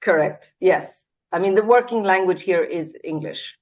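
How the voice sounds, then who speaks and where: A woman's voice: on the low side, normal speed, darkish tone. A Swedish-born woman, a CEO, talking in a casual interview over a video call, earnest and reflective with many fillers and restarts. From Sweden.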